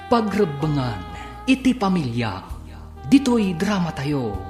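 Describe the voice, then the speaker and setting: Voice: mid-range voice, big pitch swings, takes it slowly. A radio serial announcer reading scripted dramatic narration. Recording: echoey radio studio, clear music behind. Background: music.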